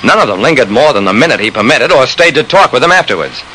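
A man's voice: mid-range voice, sing-song, quick pace, pushed and bright. An actor playing a shrewd, persistent private investigator narrating his case in an old-time radio drama, with clipped, hard-boiled delivery. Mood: measured, matter-of-fact. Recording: noisy vintage radio recording.

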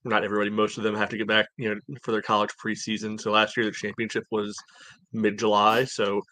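A man's voice: fairly low pitch, completely flat delivery, quick pace, bright, forceful tone. A man on a sports podcast panel, chatting casually.

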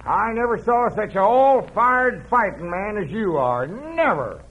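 An actor playing a folksy, grudging Old West sheriff with an American Western drawl in an old radio western. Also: male voice, sits high, very animated, measured pace, exaggerated voice. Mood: amazed, folksy. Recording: dull, faintly noisy old radio recording.

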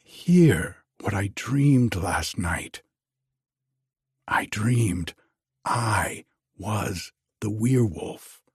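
A man's voice: on the low side, expressive, extremely slow, gravelly, breathy edge, no echo, clean studio sound, heavily acted. A man voicing an anguished young lover in a storybook tale, pleading fearfully in archaic, tremulous speech. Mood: haunted, confiding.

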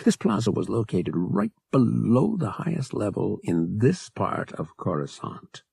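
Slow, gravelly male audiobook narrator. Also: on the low side, wildly swooping pitch, warm tone, no room sound, clean studio sound. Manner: deliberate phrasing with dramatic pauses. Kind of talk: dramatic scripted narration.

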